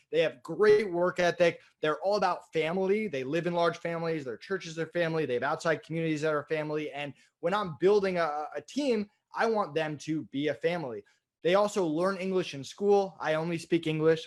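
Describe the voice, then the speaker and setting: A man giving an informal, explanatory webinar as a business trainer, in a conversational way. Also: on the high side. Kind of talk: informal instructional presentation.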